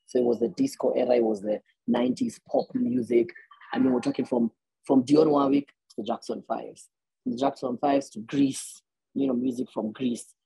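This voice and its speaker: Kenyan man in his 30s, gravelly, husky, mellow voice, rambling answers, earbud mic on video call. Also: medium pitch, sing-song, normal speed, no echo, rough recording. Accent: Kenyan English. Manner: rambling, many restarts and fillers, laughs easily. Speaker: guest musician. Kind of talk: conversational interview answers. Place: small room indoors.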